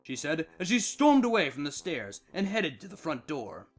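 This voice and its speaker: Animated fiction narrator, mid-range voice between male and female, soft music underneath. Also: medium pitch, moderate pace. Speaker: narrator, fan fiction reader. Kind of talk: expressive scripted storytelling narration.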